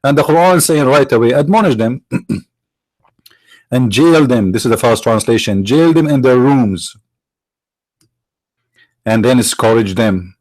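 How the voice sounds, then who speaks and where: A man whose first language is Arabic, from the Middle East, arguing in a sarcastic, mocking tone and slowing down to stress quoted phrases. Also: medium pitch, expressive, a little mellow, no echo, rough recording. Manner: sarcastic, mocking rhetorical questions. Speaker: apologist speaker. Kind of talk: argumentative lecture.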